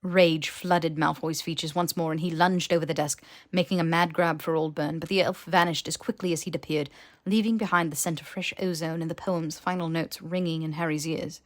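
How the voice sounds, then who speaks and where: A woman reading a story aloud as an audiobook narrator, with expressive scripted delivery. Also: sits low, brisk.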